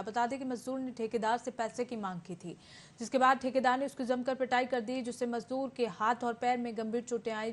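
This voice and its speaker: A woman anchor narrating a television news bulletin in a formal scripted style. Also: fairly high pitch. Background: background music.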